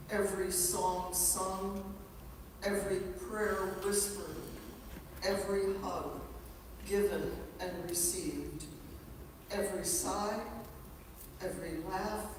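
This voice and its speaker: A woman leads a solemn prayer as officiant, her voice carrying through a long hall echo. She sounds far from the microphone, with clear noise around her. Her phrasing is measured and reverent. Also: medium pitch, flat delivery. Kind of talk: solemn spoken prayer at a memorial service. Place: large reverberant indoor church space. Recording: echoey hall, distant mic, clear background noise.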